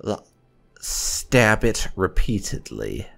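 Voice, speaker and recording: Male gaming host, hugely varied pitch, slow pace, theatrical, wry, roleplay commentary. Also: very animated, vocal fry, fairly bright tone. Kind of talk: roleplay let's play commentary. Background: faint music.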